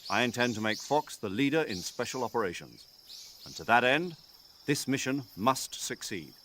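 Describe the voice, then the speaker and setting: An authoritative middle-aged military commander with a mid-pitched voice. He briefs formally and earnestly in a video game, explaining each point, over faint background noise. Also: animated, normal speed, sharp tone. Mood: earnest briefing.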